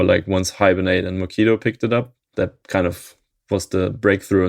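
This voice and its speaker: Man, deep gravelly voice, flat steady delivery, thoughtful guest chuckling often, dry close sound. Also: no echo. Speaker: open source maintainer, interview guest. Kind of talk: casual interview chat.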